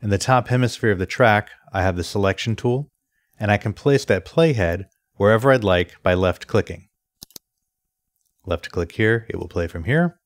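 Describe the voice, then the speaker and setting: A man presenting an instructional software screencast in a methodical, step-by-step way. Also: on the low side, moderate pace, dry recording, clean studio sound. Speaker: tutorial presenter.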